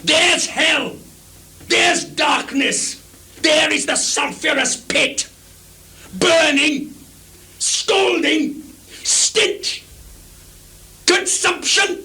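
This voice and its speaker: An actor playing a mad, bitter old king in theatrical Shakespearean style, lurching between declamatory shouts and hushed mutters. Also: extremely high pitch, very slow, long pauses, heavily acted. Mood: raging, disgusted. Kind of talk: theatrical Shakespearean stage recitation. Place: theatre stage before a live performance audience. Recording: 1980s live theatre recording, background noise.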